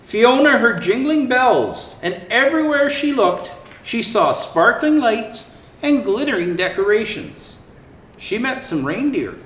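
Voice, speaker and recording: Male children's storyteller, high-pitched and theatrical, stretching words for emphasis. Roomy, echoing sound. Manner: expressive, theatrical, with drawn-out emphasis. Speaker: storyteller dressed as Santa Claus. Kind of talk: animated children's picture-book reading. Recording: roomy echo, faint background noise.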